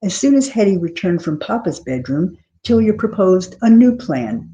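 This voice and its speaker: A woman author giving an expressive literary reading of scripted narration from a novel. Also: lowish voice, moderate pace, highs cut off. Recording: clear background noise.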